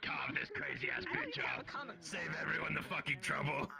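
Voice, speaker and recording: A blunt man in casual game banter. His voice sits a little high and moves around a lot, with clear group chatter in the background. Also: huge pitch swings, normal speed. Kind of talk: casual, sweary banter among players.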